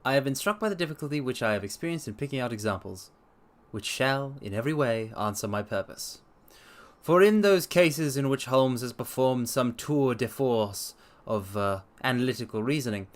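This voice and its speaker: A man hosting a livestream and reading aloud in a theatrical manner, with formal, old-fashioned phrasing. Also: medium pitch. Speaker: livestream host and story reader. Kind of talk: livestream chat and dramatic reading.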